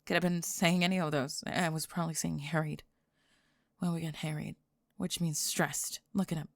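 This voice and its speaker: A woman's voice: low voice, flat delivery, vocal fry, no room sound, studio quality. A young woman acting out a prickly, flustered goth girl student with a secret crush in an ASMR roleplay, sarcastic and defensive. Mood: flustered, rambling. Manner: sarcastic, defensive, halting and stammering when flustered. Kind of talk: ASMR roleplay acting, one-sided dialogue.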